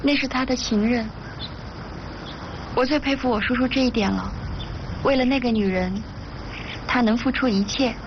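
A woman's voice: fairly high pitch, normal speed, long pauses, highs cut off, background noise, lightly acted. An actress playing an admiring, romantic young woman in a naturalistic TV drama. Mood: admiring, challenging.

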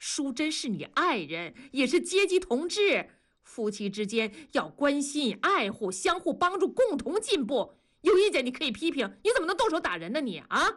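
A woman's voice: sits high, big pitch swings, moderate pace, fairly bright tone, voice pushed up. Woman doing a middle-aged factory foreman guy, lecturing, fed up, radio drama. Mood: scolding. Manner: lecturing, exasperated. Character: stern middle-aged male factory master, fatherly.

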